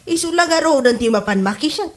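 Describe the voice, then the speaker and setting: A disapproving older neighbour played by a woman in a comedy audio drama. She gossips matter-of-factly in a fairly high voice with an Ilocano accent. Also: animated, fast. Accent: Filipino, Ilocano.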